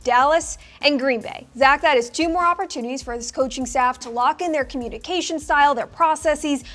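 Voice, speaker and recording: A woman's voice: sits high, a little fast, fairly bright tone, soft background music. A woman hosting a team show, presenting and interviewing in an upbeat, polished way.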